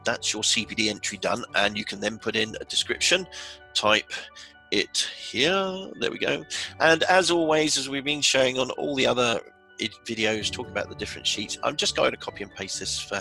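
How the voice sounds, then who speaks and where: A man presenting a software tutorial, explaining informally step by step in a casual instructional walkthrough. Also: on the low side, vocal fry, low-quality recording.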